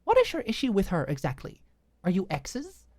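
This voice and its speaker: A woman narrator plays an exasperated young woman in an audiobook romance drama. She is defensive and indignant, hitting her words hard. The voice jumps well above the reader's low register, close and dry. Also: huge pitch swings, normal speed, a little mellow, dry recording. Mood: annoyed. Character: Maud, irritated, defensive young woman fed up with girlfriend.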